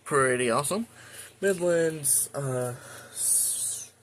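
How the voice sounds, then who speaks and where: A man hosting a hobby video, giving a casual, halting, informal show-and-tell narration. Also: fairly high pitch, a bit bright, a little hiss or hum. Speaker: video host, radio collector.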